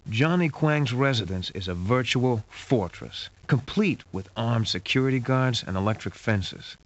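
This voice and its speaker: A man's voice: mid-range voice, lively intonation, a little slow, lightly acted. A man playing a wry hard-boiled detective who narrates a sci-fi adventure game in a dry, dramatic, scripted noir style. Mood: ominous, matter-of-fact. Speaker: game narrator and lead character. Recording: muffled lo-fi game audio with hiss.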